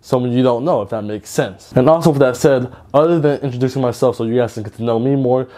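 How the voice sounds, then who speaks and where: A man's voice: medium pitch, quick pace, mellow. A man in his twenties from Georgia, introducing his channel to camera in an upbeat, chatty way, using slang and repeating himself. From Georgia, USA. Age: 20s. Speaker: YouTuber. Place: bedroom with lit shelves, indoors.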